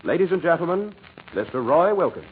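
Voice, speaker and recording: A man's voice: on the high side, big pitch swings, brisk. An American man hosting in a formal, ceremonious and polished 1940s radio announcing style. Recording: tinny, hissy 1940s radio broadcast.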